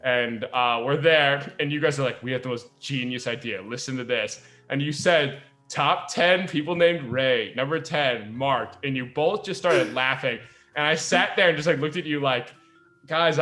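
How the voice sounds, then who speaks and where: A man's voice: on the high side, pushed and bright. A young man hosting a casual group chat, talking in a rambling, jokey way. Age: young adult. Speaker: podcast host.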